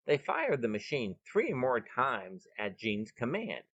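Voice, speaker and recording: A man's voice: medium pitch, huge pitch swings, slow, a bit bright, slightly rough, no room sound, lo-fi sound. A man hosting and narrating a scripted short story podcast with dramatic, emphatic delivery, recorded outdoors on a veranda. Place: veranda of a bed and breakfast, outdoors.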